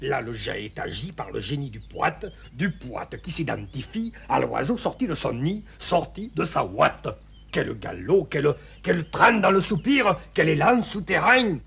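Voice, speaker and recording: Children's TV poetry professor: grandiloquent, theatrical, slow and creaky. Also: male voice, medium pitch, a bit bright, a little hiss or hum, full character voice. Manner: grandiloquent, savouring each word with emphatic delivery. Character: pompous, theatrical male poetry lecturer addressing children. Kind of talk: declamatory mock-lecture poetry recital.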